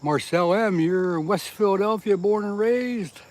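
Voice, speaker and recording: A man's voice: high-pitched, measured pace, a little mellow. A man from southeast Pennsylvania chatting on a casual fishing livestream, reading viewer comments aloud and laughing at his own jokes. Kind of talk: casual live-stream chat with viewers. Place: outdoors by the water.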